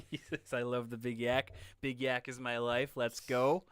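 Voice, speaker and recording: A young man hosts a relaxed podcast chat. His voice is bright and crisp, and the sound is dry and close. He is easygoing and laughs often. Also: medium pitch, a little slow, no room sound. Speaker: podcast host.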